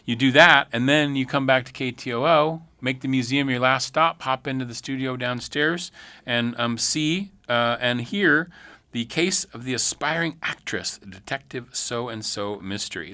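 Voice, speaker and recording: Bright-voiced man hosting casual radio. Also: mid-range voice, expressive, normal speed, dry recording. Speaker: radio show host. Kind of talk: casual live radio interview and announcing. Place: radio studio. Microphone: close studio microphone.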